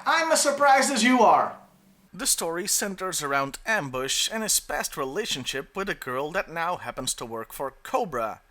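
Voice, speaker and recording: A man's voice: high-pitched, bright, forceful tone. A man narrating a scripted countdown review as the host.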